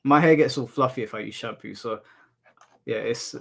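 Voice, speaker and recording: A man's voice: medium pitch, normal speed, vocal fry. Laid-back man chatting to a stream. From United Kingdom. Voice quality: monotone voice. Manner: relaxed, laughs off insults, addresses chat as bro. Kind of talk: casual livestream chat reading chat. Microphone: headphones in, desk mic.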